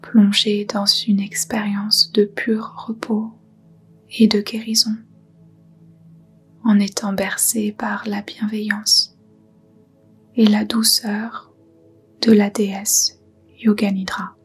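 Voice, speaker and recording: A woman softly guiding a meditation in a breathy, whispery voice, soothing and unhurried. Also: medium pitch, little pitch movement, faint music behind. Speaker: yoga nidra guide. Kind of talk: guided meditation, soft whispered narration.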